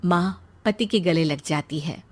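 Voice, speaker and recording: A woman's voice: on the low side, slow pace, a little mellow. A woman reading scripted narration for a moral story.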